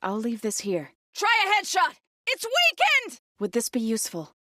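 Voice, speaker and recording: Female game warrior companion: earnest, high register, clipped emphatic combat lines, close dry studio sound. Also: huge pitch swings, moderate pace, pushed and bright, dry recording, exaggerated voice. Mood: calm, supportive. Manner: short, emphatic battle callouts. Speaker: video game character.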